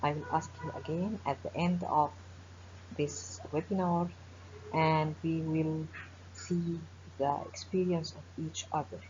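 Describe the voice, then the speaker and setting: A middle-aged woman with an Egyptian accent gives a formal webinar lecture as a professor, speaking in measured phrases with frequent pauses and fillers. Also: low voice, fairly even intonation, a little mellow. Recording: dull lo-fi webinar audio with faint hiss.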